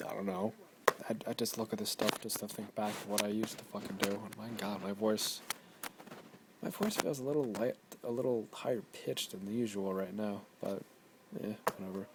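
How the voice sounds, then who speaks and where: Tired, low-voiced young man vlogging. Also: noisy background. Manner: swears constantly, rambling. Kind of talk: casual vlog commentary.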